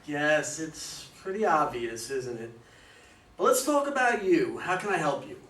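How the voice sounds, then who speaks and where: A man's voice: fairly high pitch, big pitch swings, unhurried, fairly bright tone. A man playing a reassuring professional consultant with an air of authority in an amateur play reading. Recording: echoey room, distant mic, faint noise.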